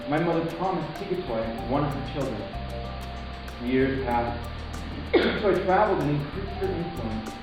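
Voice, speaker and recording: A man's voice: fairly high pitch, lightly acted. Echoey, reflective young son narrating, played by a man. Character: reflective young human son recounting his family's past. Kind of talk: scripted dramatic narration. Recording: echoey, distant, music underneath. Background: music.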